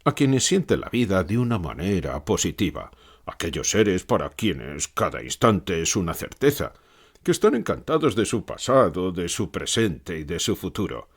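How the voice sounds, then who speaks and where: A man's voice: low-pitched, very animated, normal speed, slightly rough, exaggerated voice. A man playing a gloomy, ironic old philosopher in a dramatized interview, speaking reflectively with sardonic, emphatic phrasing. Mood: solemn, reflective. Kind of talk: dramatized literary interview for an audiobook.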